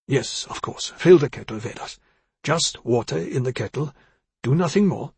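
A man narrator voices a proud old father in an audiobook, stern and unforgiving. He speaks in a very husky voice with commanding, accusatory force. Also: mid-range voice, lively intonation, normal speed, a little mellow, dry recording, exaggerated voice. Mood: firm, weary.

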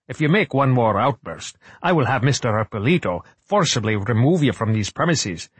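A man's voice: mid-range voice, husky, no echo. A man voicing an indignant, threatening Scottish museum official in an audiobook, biting and contemptuous. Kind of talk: audiobook character acting.

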